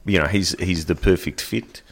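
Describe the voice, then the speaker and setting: A man's voice: sits low, steady tone, normal speed, creaky. A man co-hosting a sports podcast, blunt and laid-back with a teasing streak. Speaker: podcast co-host. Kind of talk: casual sports chat. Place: small podcast studio.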